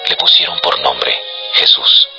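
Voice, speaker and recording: A man narrating scripture as a solemn, measured storyteller for a dramatized audio production. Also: sits low, sharp tone, faint music behind, rough recording.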